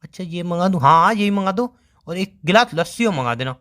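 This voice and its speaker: A man's voice: high-pitched, expressive, fairly quick, a bit bright. A young man playing a bantering, evasive boyfriend who explains himself casually in a phone-call skit. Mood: explaining, storytelling. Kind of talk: casual scripted couple phone call in Hindi.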